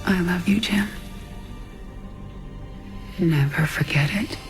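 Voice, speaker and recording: A commanding queen of an alien swarm in a game cinematic. Her voice is breathy and intense, with an anguished edge. Music plays clearly behind it. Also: on the low side, takes it slowly. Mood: anguished, tender. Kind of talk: video game cinematic acting.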